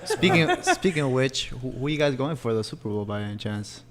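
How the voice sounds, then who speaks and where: A man's voice: medium pitch, wildly swooping pitch, brisk, a crowd in the background. A man co-hosting a podcast, chatting casually in bro-heavy slang. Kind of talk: casual chat.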